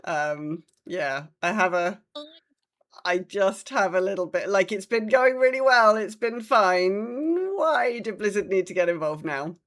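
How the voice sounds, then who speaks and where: A woman's voice: mid-range voice, lively intonation, a little slow, fairly bright tone. A woman with a British accent talking casually as co-host of a gaming podcast.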